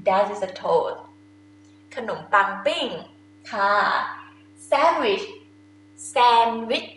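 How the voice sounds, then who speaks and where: A woman's voice: fairly high pitch, lively intonation, moderate pace. A young woman with a Thai accent, a native Thai speaker, teaching a language lesson to camera in simple, informal explanations. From Thailand. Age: young adult. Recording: echoey room with faint noise.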